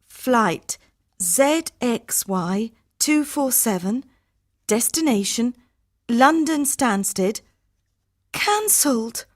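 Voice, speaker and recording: A woman's voice: high voice, sing-song, slow pace, dry recording, clean studio sound, heavily acted. An actress playing an anxious, pleading young woman desperate to get home in an audiobook story. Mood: shocked, tense. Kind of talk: audiobook dialogue acting.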